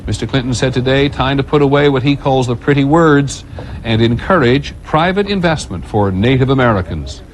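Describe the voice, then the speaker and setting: Measured male news anchor. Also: mid-range voice, expressive, slight background noise, rough recording. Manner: measured, authoritative delivery. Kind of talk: scripted news reading.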